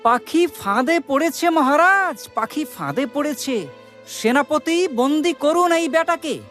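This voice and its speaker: A woman's voice: high voice, expressive, measured pace, fairly bright tone, faint music behind. A woman playing a clever, confident male court jester in a cartoon, crafty and commanding. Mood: triumphant. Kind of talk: cartoon comedy dialogue.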